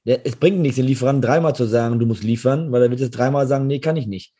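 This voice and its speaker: A hoarse man co-hosting a podcast, chatting casually and agreeably about business. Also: medium pitch, fast. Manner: relaxed, agreeable, often affirming. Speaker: podcast co-host.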